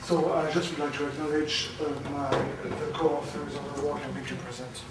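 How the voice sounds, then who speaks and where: A man giving a conference talk, loud and halting, with many fillers. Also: on the high side, steady tone, very noisy. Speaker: conference speaker. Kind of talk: academic presentation. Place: lecture room. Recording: very echoey, from far off.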